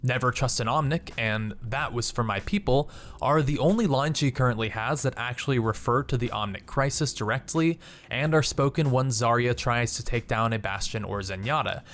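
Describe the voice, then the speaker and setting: A man's voice: mid-range voice, moderate pace, fairly bright tone, a little hiss or hum. A young man hosting a gaming channel, reading scripted video narration. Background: background music.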